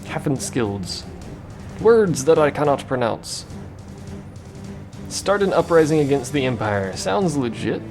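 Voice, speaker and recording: A man's voice: medium pitch, very animated, measured pace, long pauses, over music. A man giving casual, rambling gameplay commentary with a joking, self-mocking manner. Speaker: let's play commentator.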